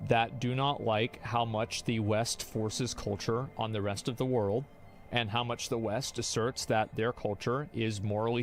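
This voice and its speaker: Argumentative man streaming with faint music. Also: medium pitch. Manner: rambling, argumentative, restarts often. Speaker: streamer reacting to chat. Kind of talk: casual opinion talk.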